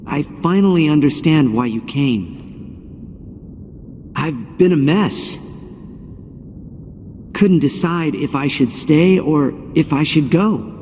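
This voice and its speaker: An actor playing an earnest, cocky young athlete hero in a video game cutscene, speaking casually. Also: male voice, on the high side, animated, slow, pauses often, a little mellow, a little hiss or hum. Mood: earnest resolve. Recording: long hall reverb, music behind. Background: game music.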